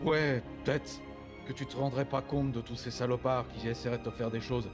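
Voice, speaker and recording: A man playing a middle-aged farmer father, protective and remorseful, in halting, plain-spoken French game roleplay. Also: medium pitch, steady tone, close to an everyday voice. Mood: remorseful, tender. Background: music.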